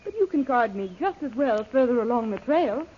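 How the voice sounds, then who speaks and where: Headstrong young heroine female actor, high and animated, anxious coaxing delivery, vintage radio melodrama. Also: fairly quick, a little hiss or hum, natural voice. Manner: pleading, melodramatic. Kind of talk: old-time radio adventure serial acting. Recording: muffled old radio recording.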